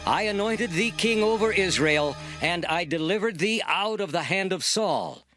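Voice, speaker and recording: A solemn, stern prophet of scripture drama, pronouncing divine judgment. His voice sits fairly high and swings widely, emphatic and declamatory, over faint music. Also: a bit bright. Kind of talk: dramatized scripture audio acting.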